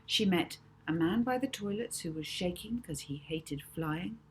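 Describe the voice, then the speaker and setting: A woman reading a children's novel aloud as expressive audiobook narration. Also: lowish voice, unhurried, slight background noise.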